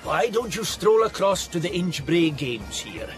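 A man's voice: high-pitched, big pitch swings, measured pace, over music. A man in a radio drama playing a sly village constable, falsely polite and insinuating. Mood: quietly menacing.

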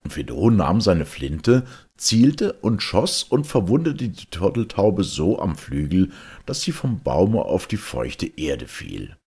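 Male storybook narrator, deep and very husky, expressive theatrical delivery. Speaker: audiobook reader. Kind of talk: storybook fairy-tale narration.

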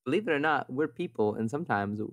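A man's voice: medium pitch, lively intonation, quick pace, fairly bright tone. A man who plays on a game podcast, chatting casually. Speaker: podcast player. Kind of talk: casual chat.

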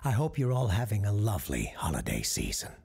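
A man performs as a genial parapsychologist hosting an audio drama and telling old family ghost stories. His voice is low and very breathy-rough, with some creak at the edges. He speaks in a measured, genteel storyteller's manner. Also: wildly swooping pitch, dark tone, full character voice. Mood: genial, chatty. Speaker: host and storyteller of an audio fiction show. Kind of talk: scripted storytelling, radio-show monologue.

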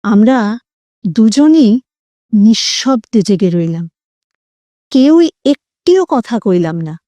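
Female storyteller for horror audio fiction: very high voice, slow suspenseful pacing, theatrical and drawn-out phrasing. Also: lively intonation, warm tone, no room sound. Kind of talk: dramatic scripted horror story narration.

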